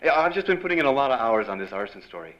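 An actor playing an evasive, slangy newspaper reporter in a noir radio drama. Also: male voice, mid-range voice, big pitch swings, very fast, highs cut off, natural voice. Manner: hesitant, stammering when cornered, slangy. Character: evasive hardboiled male newspaper reporter, scotch-drinking night owl.

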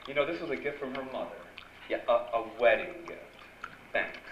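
A man's voice: mid-range voice, animated, a little fast, lightly acted. An actor playing a wisecracking, hardboiled newspaper reporter in a noir radio drama, with wry tough-guy slang. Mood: faking hesitation. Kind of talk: noir radio drama acting with first-person narration. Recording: dull, noisy live radio-play recording.

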